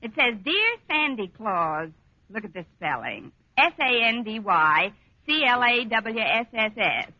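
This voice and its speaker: A woman playing a wry, quick-witted schoolteacher in a 1940s radio comedy, dry and wisecracking. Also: on the high side, big pitch swings, pushed and bright, dry recording, exaggerated voice. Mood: amused, dry. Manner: dry, wisecracking, crisply articulated. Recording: dull, noisy 1940s radio recording.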